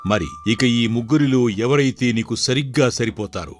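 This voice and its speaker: A man voicing a caring, authoritative older king in a moral story, with a measured, formal manner. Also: medium pitch, lightly acted. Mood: fatherly, tender. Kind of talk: dramatized Telugu moral story.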